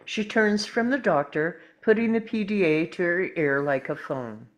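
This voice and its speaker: A woman's voice: fairly low pitch, normal speed. A woman narrating screen directions from a script in the present tense at a table read. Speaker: stage directions reader.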